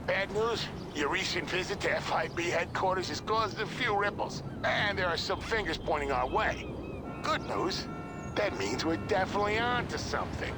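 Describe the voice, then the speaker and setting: Wry, higher-voiced male intelligence agent. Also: lively intonation, moderate pace, very noisy. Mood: measured, conspiratorial. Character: wry, conspiracy-minded male government intelligence agent giving orders. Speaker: intelligence agency handler. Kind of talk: video game cutscene acting. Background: music.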